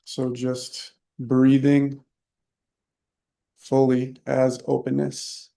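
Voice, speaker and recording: A man leading a guided meditation, soft-spoken and unhurried, leaving long pauses between short phrases. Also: medium pitch, monotone, gravelly. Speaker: meditation teacher.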